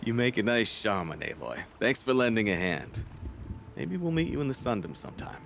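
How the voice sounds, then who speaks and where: Gentle hunter, low, expressive voice. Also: male voice, brisk, gravelly, slight background noise, heavily acted. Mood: good-natured. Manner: mild, earnest. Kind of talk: video game cutscene acting. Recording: prominent music behind the voice.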